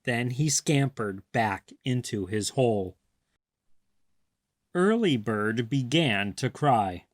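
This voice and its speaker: A man reading a children's picture book aloud, clearly and expressively. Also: mid-range voice, animated, slow pace, no room sound, studio quality. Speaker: read-aloud storyteller.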